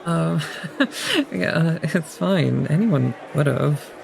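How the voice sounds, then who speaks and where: A woman's voice: low voice, lively intonation, drawn-out words, creaky, over music, full character voice, rough recording. An actress playing a reserved, awkward young female student in an audio drama, speaking hesitantly. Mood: shy, friendly. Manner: hesitant, shy, understated.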